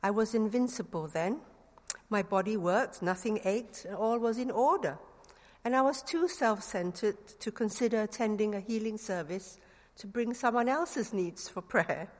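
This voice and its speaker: A middle-aged woman from Singapore with a Singaporean English accent and a touch of London slang, giving an earnest sermon with gentle humor and leading prayer. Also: medium pitch, lively intonation, moderate pace, big-room sound. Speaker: preacher.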